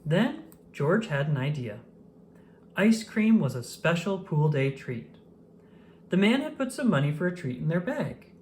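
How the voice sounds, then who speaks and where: A woman reading a children's picture book aloud in an expressive storytelling manner. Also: low-pitched, unhurried, slight background noise. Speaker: storybook reader. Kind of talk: children's read-aloud narration.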